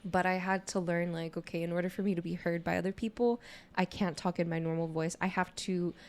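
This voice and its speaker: A young adult woman with a naturally low voice chats casually on a podcast, speaking in a candid, informal way peppered with "you know". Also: steady tone, brisk, a little mellow. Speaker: podcast co-host. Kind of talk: casual conversational podcast chat.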